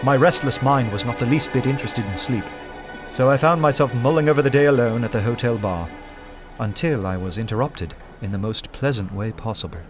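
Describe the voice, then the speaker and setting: An actor playing a reflective detective who narrates a radio drama in a measured, literary way. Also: male voice, medium pitch, over music, lightly acted. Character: reflective male detective recounting his adventure.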